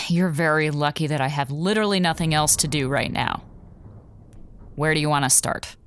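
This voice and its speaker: An actress playing a wary, exasperated lieutenant commander in a space audio drama, dry and measured. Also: female voice, low voice, fairly bright tone, a little hiss or hum. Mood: resigned.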